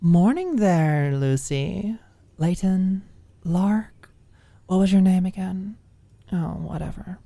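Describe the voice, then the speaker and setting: A woman's voice: fairly low pitch, lively intonation, takes it slowly, creaky, dark tone, no echo, heavily acted. A woman voicing a cocky, combative young college student who talks in a blunt, mocking way.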